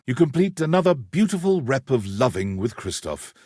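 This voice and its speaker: Male narrator for a story-driven game: expressive, slightly rough-voiced, wry dramatic storytelling, dry recording. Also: mid-range voice, moderate pace, dry recording. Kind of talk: scripted second-person game narration.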